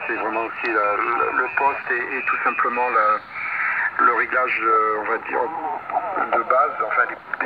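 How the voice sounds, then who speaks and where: A middle-aged man chatting casually as a ham radio operator over shortwave, repeating himself in encouraging chatter. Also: mid-range voice. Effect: shortwave radio transmission. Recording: distant, dull, very noisy shortwave radio.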